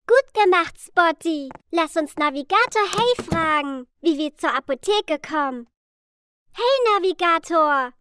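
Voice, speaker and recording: A woman voicing a cheerful, caring little girl in an educational children's cartoon, speaking in simple, deliberate phrases to young viewers. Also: extremely high pitch, animated, sharp tone, no echo, exaggerated voice. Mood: friendly, instructive. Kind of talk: slow, clear children's educational cartoon dialogue.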